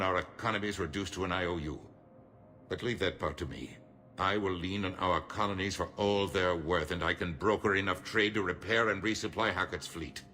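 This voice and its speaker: Deep, forceful, grave statesman. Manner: measured, oratorical, emphatic. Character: shrewd middle-aged human politician, grave and defiant. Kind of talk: cinematic game dialogue.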